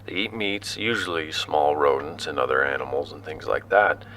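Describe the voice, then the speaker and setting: A man playing an explorer who recounts his expedition in a sci-fi audio drama, halting, informal and conversational. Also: a bass voice, bright, forceful tone, slight background noise, heavily acted, low-quality recording. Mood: animated recounting. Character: young male explorer recounting his expedition, awed and casual. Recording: music under the voice.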